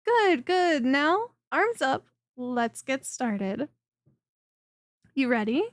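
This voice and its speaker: A woman playing a friendly, clingy female lamia chiropractor in an ASMR roleplay, speaking in a soft, coaxing and teasing way directly to the listener. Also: sits high, very animated, very slow, no echo, studio quality, exaggerated voice. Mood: caring, fussing. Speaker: voice performer.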